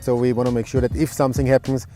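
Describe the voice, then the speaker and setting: A man from the race organization gives matter-of-fact answers. He speaks on a flat, even pitch with a mellow, dark voice. Faint music plays underneath. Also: racing. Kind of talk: interview answer.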